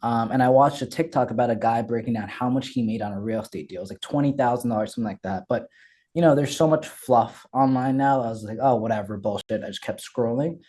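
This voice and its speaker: Brief: young man from Ethiopia, interview guest, relaxed dark tone, energetic and candid. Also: medium pitch, fast talker. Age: young adult. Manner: candid, energetic, frequent fillers and restarts. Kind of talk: casual interview storytelling.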